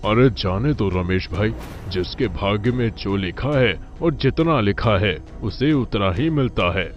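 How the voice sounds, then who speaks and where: A man's voice: on the low side, lively intonation, unhurried. A man playing a humble, honest village eatery owner in a cartoon, speaking mildly and earnestly. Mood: resigned. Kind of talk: cartoon village tale acting. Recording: dull lo-fi track with music.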